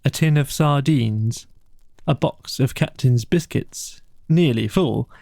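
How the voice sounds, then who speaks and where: A man reads a bedtime story at an unhurried pace. His voice is mellow and soft-edged with a gravelly creak, captured very dry. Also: mid-range voice, no echo. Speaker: audiobook narrator. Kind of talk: scripted bedtime story narration.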